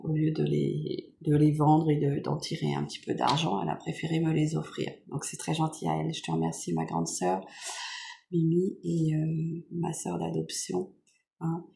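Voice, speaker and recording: French lady with a cold, croaky nasal voice, low and gravelly, rambles quietly at home. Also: flat delivery, moderate pace, a little hiss or hum. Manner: soft-spoken, meandering with many fillers. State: hoarse from a cold. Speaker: vlogger. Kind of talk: casual chat unboxing makeup gifts.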